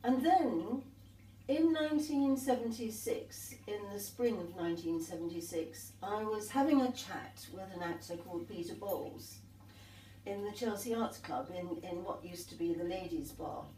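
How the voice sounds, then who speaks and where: Older woman reminiscing, mellow voice. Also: medium pitch, lively intonation, normal speed. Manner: rambling, warm reminiscing with restarts. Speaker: artist and guest. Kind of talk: conversational storytelling. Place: sunlit glass conservatory at a tea table. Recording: echoey room, distant mic, faint hiss.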